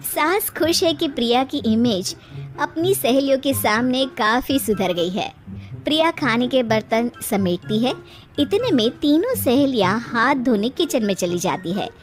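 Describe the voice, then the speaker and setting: A woman giving scripted storybook narration for an animated moral tale. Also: fairly high pitch, measured pace, faint music behind.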